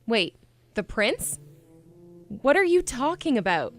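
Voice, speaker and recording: A woman's voice: fairly high pitch, sing-song, slow, faint music behind, heavily acted. A woman playing a defiant, distressed young captive princess in an audio drama, emotional and indignant. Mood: confused. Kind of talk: fan audio drama acting.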